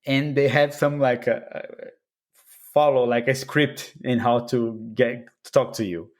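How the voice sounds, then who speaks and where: A man's voice: mid-range voice, steady tone, unhurried. Brazilian man chatting with easy laughs. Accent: Brazilian Portuguese. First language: Portuguese. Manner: hesitant restarts, laughs while telling anecdotes. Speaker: podcast guest. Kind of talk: casual interview chat.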